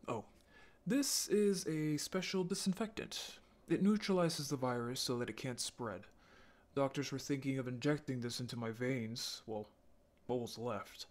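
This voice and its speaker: A man playing a lonely, apologetic young male zombie in an audio roleplay, speaking in a halting, intimate way. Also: mid-range voice, lightly acted. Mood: matter-of-fact. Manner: halting, stammering, sighing.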